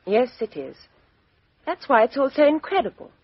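An actress playing a composed, outspoken young Englishwoman with a crisp, frank upper-class delivery in a 1950s radio drama. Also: high-pitched, big pitch swings, normal speed, dry recording, full character voice. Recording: dull 1950s radio recording.

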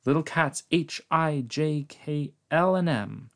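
A man reading aloud as an eager little cat helper, quickly listing off the other cats. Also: a little high, lively intonation, pitched above the performer's own voice.

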